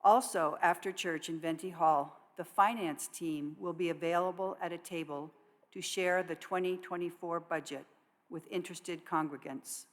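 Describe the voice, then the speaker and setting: A woman with a slightly low voice reads notices to a congregation. Her delivery is measured and scripted, as a worship associate would give it. Long reverb from a large church hall surrounds the voice. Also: fairly even intonation. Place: church sanctuary.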